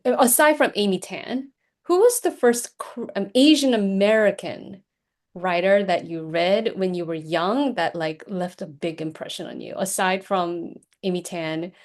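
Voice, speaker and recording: Animated woman hosting an interview. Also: mid-range voice, measured pace. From Korea. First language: Korean. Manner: animated, laughs often. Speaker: podcast host, executive coach. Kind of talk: conversational interview.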